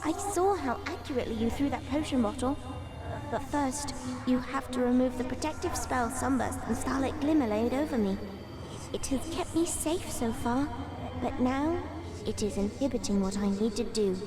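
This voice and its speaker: A woman's voice: sits high, moderate pace, natural voice. A woman playing a wise, formal seed spirit, speaking in a solemn, oracular way in an audio drama. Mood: urgent, solemn. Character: wise, solemn female magical seed spirit, ancient yet newborn. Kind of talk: fan audio drama acting.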